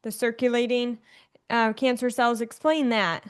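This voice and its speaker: A woman's voice: a little high, flat delivery, a little slow, clear, resonant tone. A woman hosting a podcast interview, who is curious and asks her questions directly. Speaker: podcast host. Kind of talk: conversational interview.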